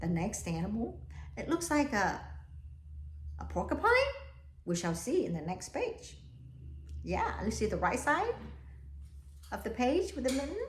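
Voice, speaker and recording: A woman reading a children's picture book aloud expressively, often pausing to ask her young listeners questions. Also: fairly high pitch, huge pitch swings, a little hiss or hum. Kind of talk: children's read-aloud, alternating slow reading with asides about the pictures. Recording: echoey, roomy sound.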